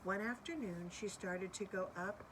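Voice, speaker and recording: A woman reads a picture book aloud for young listeners. Her delivery is expressive, with room for asides and questions to whoever is listening. Faint noise sits behind her medium-pitched voice. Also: moderate pace. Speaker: storybook reader.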